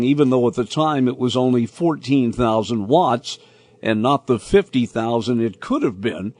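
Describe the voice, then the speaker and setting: Older American male narrator, unhurried reminiscing storyteller, very expressive pitch, dry sound. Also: no echo. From Indiana, United States. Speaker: host and storyteller. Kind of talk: scripted personal narration.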